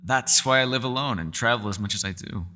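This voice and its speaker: A man's voice: mid-range voice, a little fast, sharp tone, big-room sound, close to an everyday voice. A man voicing a centuries-old elf scholar in an ASMR roleplay, courteous and meticulous, with formal wording and small hesitant stammers. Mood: earnest explaining. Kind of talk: ASMR roleplay voice acting, one-sided dialogue.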